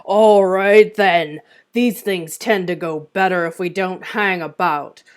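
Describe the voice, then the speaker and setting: A woman's voice: lowish voice, fairly even intonation, slow, smooth. A woman acting an unbothered leader of a werewolf band in a script read, with casual, polite understatement. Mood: brisk, commanding.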